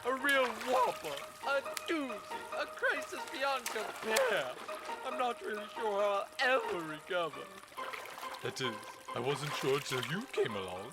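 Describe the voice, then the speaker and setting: A man playing an excitable, melodramatic, fretful otter in a children's animal audio drama, given to theatrical, repetitive exclamations. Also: sits high, a little slow, loud music behind the voice, exaggerated voice. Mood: dramatic woe. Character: excitable, melodramatic, fretful male otter devoted to his stream.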